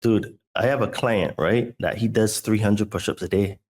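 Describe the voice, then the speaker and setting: A man's voice: fairly low pitch, fairly even intonation, normal speed, darkish tone. A man from Barbados, a fitness coach and podcast guest, talking in casual, enthusiastic chat and often saying "dude" and "right?".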